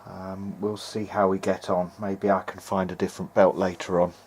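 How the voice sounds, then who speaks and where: Bloke kinda muttering through a repair vid, lowish voice, bit of noise behind him. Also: male voice, little pitch movement, normal speed, darkish tone. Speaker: vintage hi-fi hobbyist narrating own repair video. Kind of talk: informal hands-on repair video diary.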